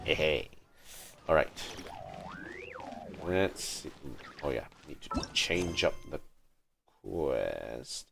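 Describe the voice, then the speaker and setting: A man's voice: extremely low pitch, big pitch swings, drawn-out words, vocal fry, pauses often, noisy background. A man doing casual live game commentary, reacting and muttering to himself as he plays. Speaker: game livestreamer. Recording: game music in background.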